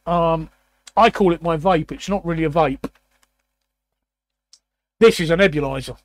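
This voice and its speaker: A man in his sixties with a croaky, raspy voice, hosting a casual chat and often clearing his throat. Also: on the high side, dry recording. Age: 60s. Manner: repeats words, frequent throat clearing. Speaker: host.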